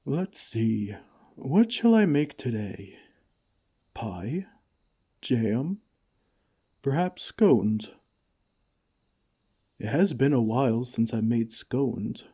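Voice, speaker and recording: A man playing a gentle, caring friendly giant in an ASMR comfort roleplay, with tender, old-fashioned, unhurried phrasing. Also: mid-range voice, sing-song, breathy edge, heavily acted. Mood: musing to himself.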